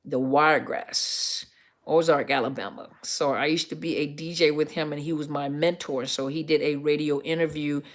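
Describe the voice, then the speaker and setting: A middle-aged woman with a deep, scruffy, gravelly voice, a counselor chatting casually to viewers on a live stream and often correcting herself with ums. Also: fairly even intonation, normal speed. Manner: rambling, self-correcting, many ums.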